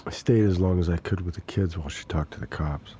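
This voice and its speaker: Actor as a low-voiced, halting, weary husband. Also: male voice, warm tone, slight background noise, natural voice. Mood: numb, grieving. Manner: halting, stammering. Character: weary, grieving, pleading husband and father. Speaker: actor in an audio drama. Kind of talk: naturalistic audio drama acting.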